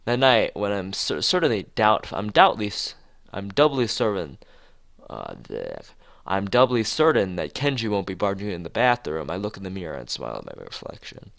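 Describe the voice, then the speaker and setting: Deep-voiced man reading a game aloud. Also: big pitch swings, moderate pace, vocal fry, fairly bright tone. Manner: stumbles over read lines and rambles in asides. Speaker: streamer playing and reading a visual novel. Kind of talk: let's play read-aloud with casual asides.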